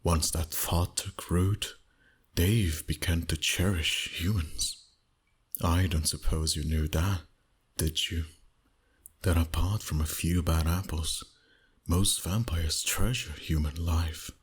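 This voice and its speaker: A very low, heavily breathy male vampire, acted out by a man for an ASMR roleplay. He speaks slowly and intimately to one listener, often sinking toward a whisper. The manner is dominant, flirtatious and teasing. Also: fairly even intonation, heavily acted. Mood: calm, persuasive. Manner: slow, deliberate, flirtatious.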